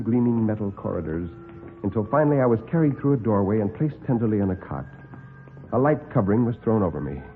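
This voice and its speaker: A man narrating a first-person horror tale as a classic radio storyteller, theatrical and suspenseful, with deliberate pauses. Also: fairly low pitch. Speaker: storyteller and actor. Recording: muffled old radio broadcast recording.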